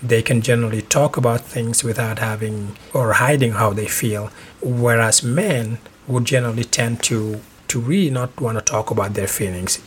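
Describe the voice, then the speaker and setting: A middle-aged man from Senegal hosting a podcast, giving earnest relationship advice in a measured way with small restarts. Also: mid-range voice, steady tone, a little hiss or hum. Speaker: podcast host.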